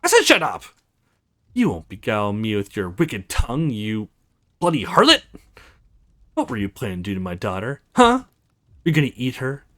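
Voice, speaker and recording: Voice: medium pitch, huge pitch swings, moderate pace, pauses often, fairly bright tone, voice pushed down. A woman playing a furious, hostile mother in a fantasy visual novel game, accusing and venomous, with music behind her. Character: furious hostile mother, suspicious witch-hater.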